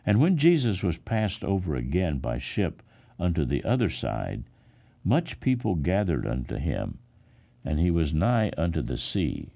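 A man, a pastor, reading the Bible aloud in a solemn, dramatic style with measured, expressive emphasis. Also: low-pitched, creaky.

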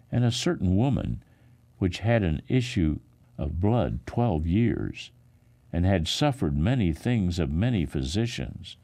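A man, a pastor, reading the Bible aloud in a solemn, dramatic style with measured, expressive emphasis. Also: low voice, creaky.

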